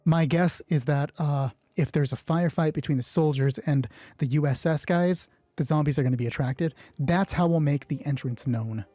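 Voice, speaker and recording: A man's voice: a little high, normal speed, few pauses, warm tone, no echo. A man casually narrating an improvised solo roleplay game, thinking aloud and swearing offhandedly.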